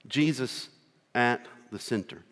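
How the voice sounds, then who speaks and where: A man's voice: mid-range voice, expressive, a bit bright. Earnest preacher, rural American man, reverberant. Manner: earnest, slow phrases with pauses, rising on key words. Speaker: missionary preacher. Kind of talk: sermon. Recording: long reverb of a large hall.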